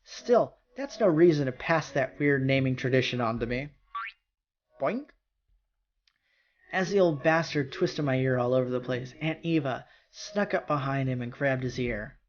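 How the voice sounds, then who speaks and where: A man's voice: medium pitch, a little slow, highs cut off, a little hiss or hum. A man reading a visual novel's narration aloud, breaking off for casual reactions, with music behind him. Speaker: amateur visual novel reader and player.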